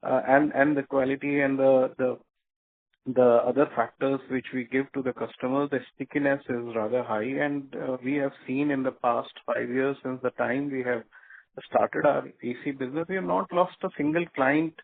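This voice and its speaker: A tinny, narrow phone line carries an Indian man's mid-pitched voice. He answers as a company executive on an earnings call, halting often and filling his pauses as he goes. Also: fairly even intonation, gravelly. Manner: hesitant, halting delivery with frequent filled pauses. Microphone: telephone conference line.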